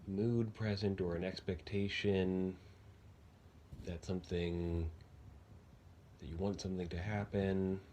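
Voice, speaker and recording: Slow, low-voiced man guiding meditation. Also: little pitch movement, slight background noise. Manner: gentle, unhurried, halting with long pauses. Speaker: guided meditation teacher. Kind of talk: guided body meditation, unscripted.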